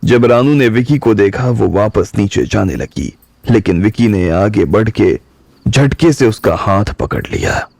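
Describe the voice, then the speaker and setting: A man narrating a drama audiobook in steady storytelling. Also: on the low side, measured pace, gravelly, no echo, studio quality.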